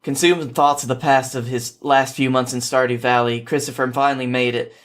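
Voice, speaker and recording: A man's voice: mid-range voice, fairly even intonation, brisk, fairly bright tone. A man reading a story aloud in an informal way, now and then stumbling over a word. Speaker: podcast host reading fan fiction.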